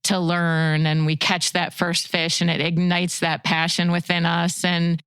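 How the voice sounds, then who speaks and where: An American woman in her sixties with a slightly low, exceptionally clean and resonant voice. She talks in a reflective, heartfelt way as a podcast guest, and the recording is dry with no room sound. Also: monotone, measured pace, a bit bright, smooth, no room sound. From Chicago suburbs, USA. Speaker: podcast guest, fishing guide and outdoor writer. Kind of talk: reflective interview talk.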